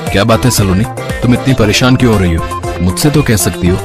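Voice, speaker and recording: Man playing an earnest low-voiced young husband. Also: fairly quick, lightly acted. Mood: concerned. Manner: earnest, reproachful. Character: earnest, exasperated young husband, protective of his mother. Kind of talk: acted dialogue in a Hindi moral story cartoon. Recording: voice over prominent music.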